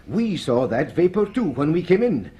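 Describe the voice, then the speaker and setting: Lively, high-voiced Scotsman. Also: moderate pace. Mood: concerned. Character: concerned, brisk Scottish man, colleague at the station. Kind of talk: radio drama acting.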